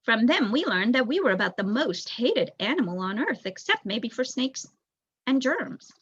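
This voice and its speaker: A young woman voicing a thoughtful, bookish male rat elder telling a storybook history in a measured, reflective way. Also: on the high side, big pitch swings, fairly bright tone, slightly rough, heavily acted. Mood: pondering. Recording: dull lo-fi recording.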